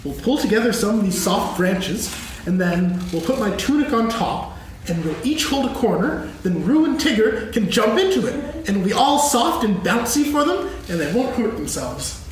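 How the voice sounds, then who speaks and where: Echoey hall with audience, male actor as sunny helpful boy, very high voice, hugely animated, eager. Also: moderate pace, crowd noise. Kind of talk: staged reading of a children's play.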